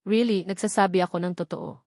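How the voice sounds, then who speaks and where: A woman's voice: lowish voice, fairly even intonation, fairly quick, a little mellow, dry recording, studio quality, natural voice. Woman reading as a playful, devoted young man. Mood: earnest, teasing.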